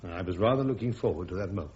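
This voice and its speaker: An actor playing a proud, dryly sarcastic British army major on trial, speaking in a clipped, emphatic way in a radio drama. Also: male voice, deep voice, racing, darkish tone, natural voice. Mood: wry, insistent. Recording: dull, lo-fi radio drama recording.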